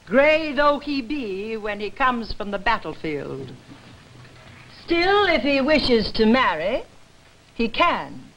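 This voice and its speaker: An actress playing a forlorn, defiant wife in a theatrical verse reading, declaiming in measured rhythm. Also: female voice, a little high, sing-song, a bit bright, exaggerated voice, poor audio. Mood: bitter, rueful. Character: forlorn, defiant wife lamenting war's cost to womanhood.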